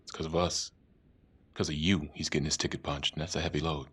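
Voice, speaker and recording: An actor playing a gruff, supportive police colleague in a cinematic game, with a husky voice. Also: male voice, very deep voice, flat delivery, quick pace, a bit bright. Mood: wry, grave. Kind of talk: cinematic video game acting.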